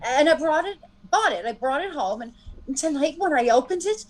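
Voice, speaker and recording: A woman playing an anxious, easily startled wife in an old-time radio drama, fretful and halting, pleading insistently. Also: sits high, animated, rough recording. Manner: fretful, halting with repeated words.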